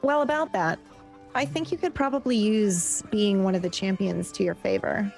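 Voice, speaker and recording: A woman playing a tabletop game in character, talking in a quick, persuasive, chatty way. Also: medium pitch, vocal fry, a little mellow, soft background music. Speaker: player.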